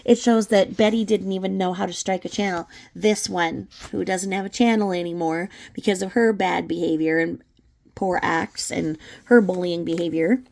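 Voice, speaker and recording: A woman's voice: fairly low pitch, fairly even intonation, moderate pace, vocal fry, a little mellow. A woman giving unscripted, scornful and emphatic opinion commentary as the host of her own channel.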